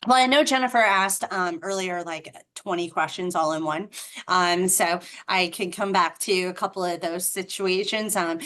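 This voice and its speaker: A middle-aged woman with a Boston accent talks through how a franchise works, leaning toward a low pitch. She is chatty and explanatory, full of ums and self-corrections, and the sound comes through a video call. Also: moderate pace, fairly bright tone. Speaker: franchise representative.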